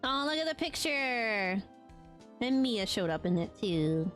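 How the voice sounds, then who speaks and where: A woman's voice: on the high side, big pitch swings, a little slow, a bit bright, faint music behind. A woman from Latin America who streams games, chatting casually and affectionately with her live viewers, with frequent ums and a voice tired after a long stream. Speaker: game streamer.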